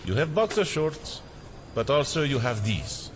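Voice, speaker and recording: Saudi customs official, Arabic accent, officious, disdainful, very animated delivery, slight noise behind. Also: male voice, medium pitch. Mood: suspicious. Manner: brusque, disdainful, halting English. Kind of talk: audio drama acting.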